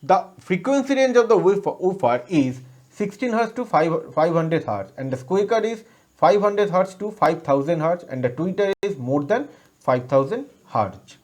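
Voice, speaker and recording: A man's voice: high-pitched, animated, moderate pace, a little mellow. A man with an Indian accent giving a formal, scripted technical lesson as a lecturer, in a recited textbook manner. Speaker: lecturer presenting an electronics lesson. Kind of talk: scripted educational presentation.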